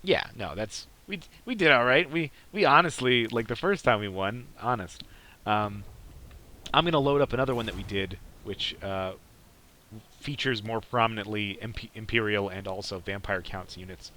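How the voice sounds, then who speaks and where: A man's voice: on the low side, moderate pace, bright, forceful tone. A man casually commentating on gameplay as a podcast host, in a rambling, hesitant way. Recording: clearly noisy background behind voice.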